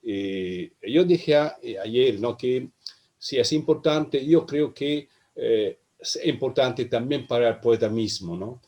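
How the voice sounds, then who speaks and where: Italian-speaking man asking a halting question. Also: mid-range voice, animated, darkish tone, slight background noise. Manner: halting, mixing Italian into Spanish, frequent tag questions. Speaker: translator and moderator. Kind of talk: poetry reading and interview question.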